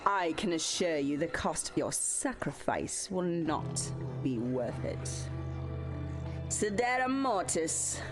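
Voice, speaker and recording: A woman's voice: lowish voice, expressive, slow, music in the background. A woman playing a gloating, sadistic villainess in an audio drama, mocking and theatrical, with a drawl. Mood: menacing, gloating. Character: gloating, sadistic villainess devoted to her dark lord.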